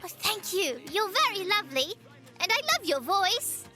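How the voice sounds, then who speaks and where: A woman's voice: very high voice, expressive, moderate pace. A woman voicing a sweet, cheeky little girl, polite and eager, in an audio adventure drama. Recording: music behind.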